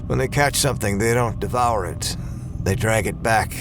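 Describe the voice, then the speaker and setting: An actor playing a weary, cynical lone space ranger in a sci-fi audio drama, terse, guarded and grim. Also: male voice, lowish voice, normal speed, background noise, natural voice.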